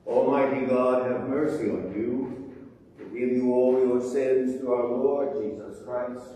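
A man leading a church service as priest, reciting the liturgy in a measured way in a church sanctuary. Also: medium pitch, steady tone, warm tone. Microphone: distant room mic. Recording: distant, echoey church with hum.

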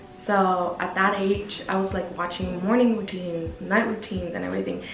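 A woman's voice: medium pitch, fairly even intonation, moderate pace. Brief: young woman vlogger, chatty and upbeat, recorded in an echoey bedroom with faint background music. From Guatemala. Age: 20s. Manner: chatty, upbeat, lots of "like" and "you know". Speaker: YouTuber. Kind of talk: casual Q&A vlog. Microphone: camera at medium distance.

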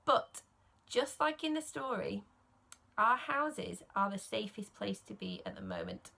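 A woman in her thirties reading a bedtime story aloud to children, sing-song and expressive, stressing key words. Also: mid-range voice, creaky. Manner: slow, sing-song rhyming delivery with emphasis on key words.

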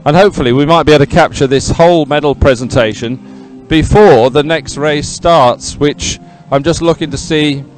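A man's voice: on the high side, normal speed, hardly any pauses, faint music behind, low-quality recording. A man giving live, ad-libbed sports commentary in a chatty, rambling, enthusiastic way.

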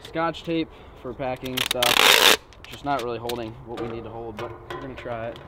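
A young man chatting casually outdoors with a friend, laid-back and quick to laugh, with excited bursts. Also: medium pitch. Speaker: co-host friend. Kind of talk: casual chat. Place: outdoors on a rural gravel lot. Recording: loud outdoor noise.

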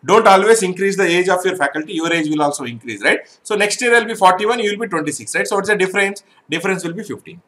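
A man's voice: high-pitched, animated, fast, hardly any pauses, a bit bright. A middle-aged man teaching an exam-prep math lesson online, explaining step by step and often checking "right?" with his students.

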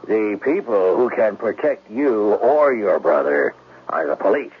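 An actor in a 1970s radio drama playing a dogged police detective with a gravelly, husky voice and a blunt, probing manner. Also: male voice, fairly low pitch, lively intonation, a little slow. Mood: skeptical. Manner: blunt, probing, hesitant starts. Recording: thin, phone-like vintage radio recording.

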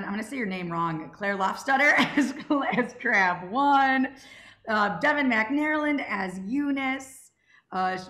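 Bright, echoey young woman hosting. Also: on the high side. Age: young adult. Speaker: Q&A host of an audio drama club. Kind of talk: casual hosting on a group video call. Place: indoors on a group video call. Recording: roomy, echoing video call audio.

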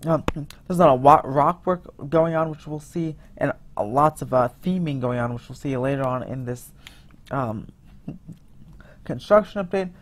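A man giving a casual voice-over about construction photos, rambling with many restarts and fillers, sounding excited. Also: medium pitch, moderate pace, slight background noise. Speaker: theme park vlogger.

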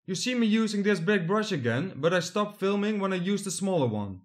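Young man, high-pitched, from the Netherlands; plain step-by-step voice-over. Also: big pitch swings, fairly quick. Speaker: hobby builder narrating his project. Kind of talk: scripted voice-over narration.

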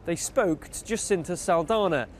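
A man's voice: high voice, moderate pace. A man delivering a scripted news report as a television reporter.

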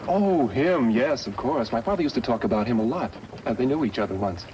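A man playing a polite, earnest, idealistic young mining engineer in a 1950s radio western, speaking a little haltingly. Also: medium pitch, animated, mellow, close to an everyday voice. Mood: friendly, curious. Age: young adult. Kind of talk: 1950s radio drama acting. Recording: slightly noisy 1950s radio recording.

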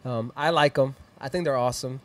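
Upbeat Mexican-accented studio host. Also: male voice, medium pitch, fairly even intonation, a little fast, no echo. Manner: rambling, upbeat, plugs sponsors and socials. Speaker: host of a live music stream. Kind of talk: casual chat and shout-outs. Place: small decorated studio room, indoors. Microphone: handheld mic.

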